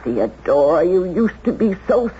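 A woman's voice: fairly low pitch, sing-song, measured pace, mellow. An actress playing a disapproving middle-aged mother in a 1970s radio drama, scolding emphatically and dramatically. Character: disapproving, scandalized mother of a grown daughter. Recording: muffled 1970s radio broadcast, some hiss.

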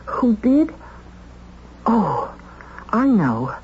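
A woman playing a flustered young secretary under suspicion in a 1970s radio drama, halting and emphatic as she protests. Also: a little high, big pitch swings, a little mellow, heavily acted. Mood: accusing, insistent. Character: flustered young female secretary accused of murder. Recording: dull, hissy vintage radio recording.

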